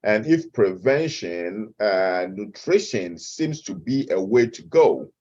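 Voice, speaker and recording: A middle-aged man with a Ghanaian accent, a guest expert answering interview questions slowly, with frequent hesitant fillers. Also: fairly low pitch, big pitch swings, a little mellow, lo-fi sound. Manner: measured and slow, with many hesitant "uh" fillers. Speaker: guest expert, nutrition professor.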